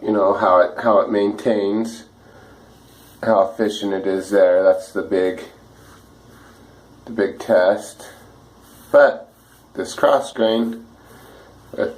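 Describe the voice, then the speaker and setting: A man with a slightly rough, dry voice, chatting casually and a bit ramblingly while he shaves at home for his vlog. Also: fairly low pitch, darkish tone, poor audio. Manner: easygoing, rambling, many fillers and restarts. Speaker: wet shaving vlogger. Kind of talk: casual talk while shaving.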